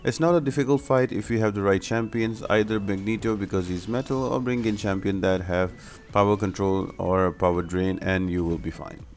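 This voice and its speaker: A man's voice: on the low side, steady tone, normal speed. A man giving explanatory, step-by-step commentary as the host of a video game guide. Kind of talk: gameplay tutorial commentary.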